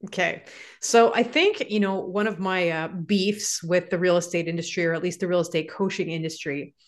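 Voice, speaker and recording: A woman speaks as a podcast guest. Her voice sits a little low for a woman. She talks loosely, circling her points with "you know" and "like". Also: steady tone, normal speed. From Canada. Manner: conversational, many fillers like "you know" and "like". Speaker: guest, real estate investor. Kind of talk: podcast interview answers.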